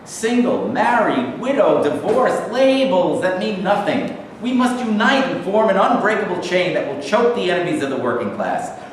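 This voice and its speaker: A man's voice: high-pitched, sing-song, moderate pace, few pauses. A man playing a fervent socialist agitator in a staged reading, declaiming in slogans like an orator. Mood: rousing. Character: fervent male socialist agitator rallying the working class. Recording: echoey hall, distant mic, faint noise.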